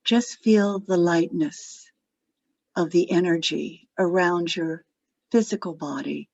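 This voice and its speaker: Woman doing guided meditation, lowish voice, really slow n gentle, long pauses, kinda muffled lo-fi sound. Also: gravelly. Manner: gentle, unhurried, phrase-by-phrase delivery with long pauses. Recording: dull lo-fi audio, roomy echo.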